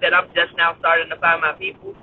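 A man's voice: fairly high pitch, level tone, fast talker. A man in his forties calling in from a car, upbeat and encouraging, laughing often. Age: 40s. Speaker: podcast guest. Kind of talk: casual chat. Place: in a car on the street. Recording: tinny phone line with faint road noise.

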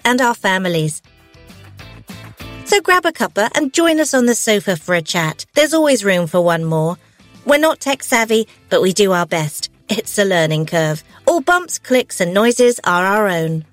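A woman in her fifties co-hosting a relaxed podcast chat, talking freely and laughing easily. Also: mid-range voice, dry recording. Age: 50s. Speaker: podcast co-host. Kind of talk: casual chat. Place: home living room.

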